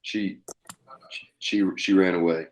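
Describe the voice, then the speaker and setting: Western table read: male actor as scheming cavalry captain, low voice, halting delivery with repeated words. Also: steady tone, poor audio. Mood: weak, dazed. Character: halting, scheming army captain in the old West.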